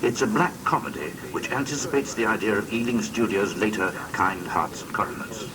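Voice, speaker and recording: A man's voice: on the low side, a little fast, noisy background. A man reading a scripted biographical documentary narration, recorded on an old cassette. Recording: muffled distant old cassette copy, music behind. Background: music.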